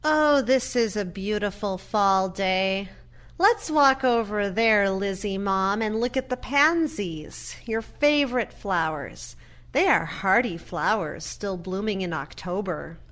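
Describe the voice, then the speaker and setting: A woman playing a gentle, earnest daughter in an audio play, measured and reflective. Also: medium pitch, expressive. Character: gentle, earnest daughter visiting her mother in care.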